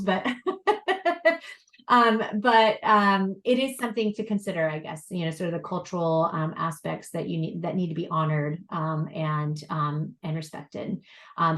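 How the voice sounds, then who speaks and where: A woman's voice: fairly low pitch, fairly bright tone. Rambling woman professor, roomy echo. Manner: rambling, many fillers and restarts. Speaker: professor on a panel. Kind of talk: casual panel discussion.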